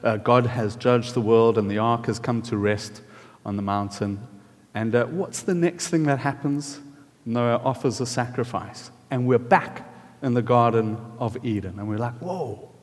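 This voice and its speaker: A man's voice: mid-range voice, a little slow. South African man preaching in a reverberant hall. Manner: chatty, friendly, filler-heavy. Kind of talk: casual sermon with audience questions. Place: church hall.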